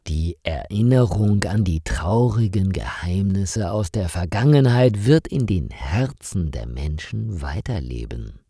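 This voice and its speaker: A man reading a scripted story for language learners in a measured, carefully articulated storytelling manner. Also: low-pitched, mellow, dry recording, clean studio sound. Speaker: narrator of stories for language learners.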